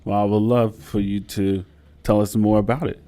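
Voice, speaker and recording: Low, flat-voiced male talk show host. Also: moderate pace. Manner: hesitant, filler-heavy questioning. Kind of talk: casual interview.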